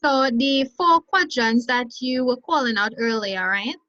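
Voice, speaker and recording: A woman's voice: on the high side, lively intonation, measured pace, pushed and bright, no room sound. A woman asking a short, polite question on a video call. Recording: dry, dull video call audio.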